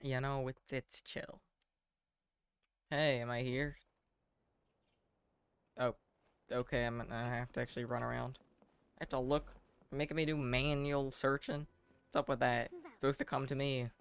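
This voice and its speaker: A young man giving casual live game commentary, rambling along in a wry, deadpan way. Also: medium pitch, unhurried, pauses often, clean tone. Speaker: game streamer. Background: faint game music.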